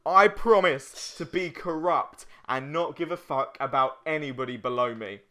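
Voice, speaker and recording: A young man with a bright, slightly high voice co-hosts a let's play. He talks casually and jokes in a playful, mock-boastful way. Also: normal speed. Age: young adult. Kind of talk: casual gaming banter.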